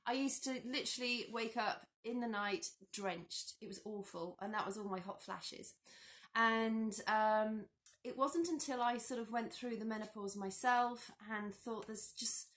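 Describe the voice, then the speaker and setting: Woman, forties, slightly hoarse, chatty vlog, animated, restarts sentences, phone at arm's length, living room. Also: medium pitch. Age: 40s. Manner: chatty, animated hand gestures, frequent restarts. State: hoarse from a cold. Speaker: health vlogger. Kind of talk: casual explanatory talk to camera. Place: living room at home, indoors.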